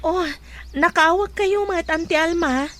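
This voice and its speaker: An actress with an Ilocano accent playing a respectful, worried pregnant daughter in a radio drama. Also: female voice, extremely high pitch, measured pace, a bit bright. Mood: polite.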